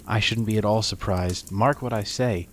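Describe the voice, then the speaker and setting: A man voicing a gentle, grieving poor father who speaks tenderly and earnestly to his family in a storybook, over some noise. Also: fairly low pitch, normal speed, close to an everyday voice. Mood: fond, hopeful.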